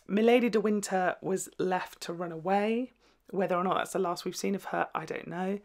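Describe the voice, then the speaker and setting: A young man giving casual, expressive reaction commentary, chatting to camera and exclaiming in short bursts. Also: high voice, moderate pace. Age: young adult. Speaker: reaction video host. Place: small indoor room at home.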